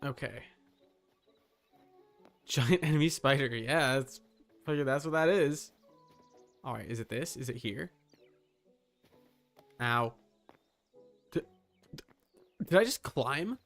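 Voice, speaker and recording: Slow-talking man streaming a game. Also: medium pitch, expressive, a bit bright, soft background music. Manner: muttering reactions in short bursts, casually profane. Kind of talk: casual live gameplay commentary.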